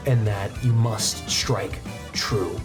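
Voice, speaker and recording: Woman as stern male sword master in a tabletop game: very low, solemn, instructive, music underneath. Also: steady tone, takes it slowly. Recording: music behind the voice.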